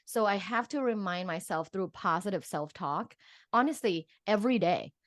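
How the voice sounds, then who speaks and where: A woman's voice: mid-range voice, expressive, moderate pace, dry recording. A woman from Thailand speaking as an expert guest in a casual interview, informal and peppering her speech with "like". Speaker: guest, professor and sexologist.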